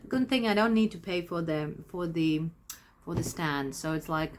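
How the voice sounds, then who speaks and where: A woman's voice: fairly low pitch, moderate pace, slight background noise. Chatty woman vlogger. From Latvia. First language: Latvian. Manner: rambling, chatty, self-interrupting. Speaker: crochet vlogger. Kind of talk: casual chat to camera.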